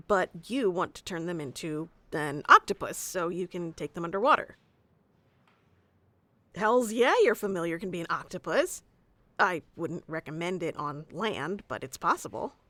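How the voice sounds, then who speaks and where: A woman's voice: medium pitch, a bit bright, dry recording. A woman playing a bossy, geeky tsundere wizard teacher who explains things informally and smugly in an ASMR roleplay. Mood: casual, instructive. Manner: informal, smug, flustered asides, explanatory. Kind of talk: scripted ASMR roleplay audio addressed to the listener.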